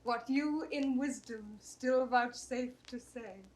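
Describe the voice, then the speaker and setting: An actress playing a dutiful, composed young princess in a 1960s Shakespeare audio drama, speaking verse carefully and formally. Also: female voice, a little high, slow, rough recording. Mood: demure, composed.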